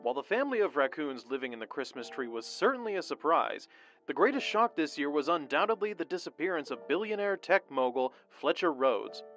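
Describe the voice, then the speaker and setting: News anchor: higher male voice, animated scripted read, music bed. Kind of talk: scripted newsreading.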